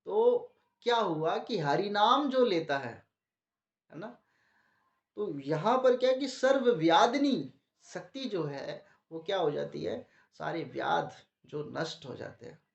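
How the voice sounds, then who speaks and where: A man's voice: high voice, lively intonation, slow, vocal fry. A man with a sore throat giving a devotional talk to a gathering, asking the audience questions and answering them himself. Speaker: preacher. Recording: muffled, echoey room.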